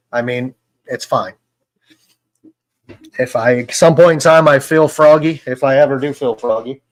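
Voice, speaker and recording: Rambling, easygoing man hosting a stream. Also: mid-range voice. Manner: relaxed, rambling, lots of fillers. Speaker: host. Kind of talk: casual live-stream chat.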